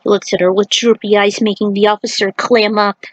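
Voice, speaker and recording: A woman's voice: mid-range voice, little pitch movement, brisk, no room sound. A woman reading an action fantasy story aloud as a narrator, in a dramatic scripted style.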